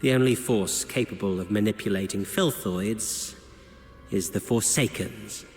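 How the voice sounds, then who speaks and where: A man's voice: fairly low pitch, darkish tone. A man voicing a suave, theatrical subordinate officer in a video game, with a flowery, insinuating way of reporting. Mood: sly, deferential. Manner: flowery, insinuating, deliberate storytelling. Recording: echoey hall reverb with music.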